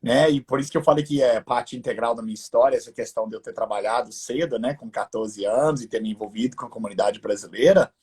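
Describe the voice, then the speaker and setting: An American man who learned the language as a teenager, chatting relaxed in a live interview and often adding "né". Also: mid-range voice, fast, hardly any pauses, breathy edge. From United States. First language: English. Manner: relaxed, laughing, tag questions with "né". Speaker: guest entrepreneur.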